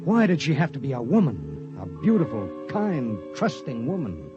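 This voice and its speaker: An actor playing a grim, conscience-torn spaceship pilot in 1950s radio drama style. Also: male voice, mid-range voice, huge pitch swings, normal speed, over loud music. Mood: brooding. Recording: noisy, dull 1950s radio recording.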